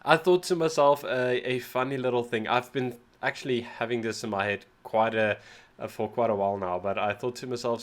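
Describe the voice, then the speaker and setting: A South African man whose first language is Afrikaans, slightly sick, chatting casually and rambling as the host of a music reaction channel. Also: mid-range voice. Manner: rambling, self-deprecating, often restarts words. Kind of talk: casual reaction video chat.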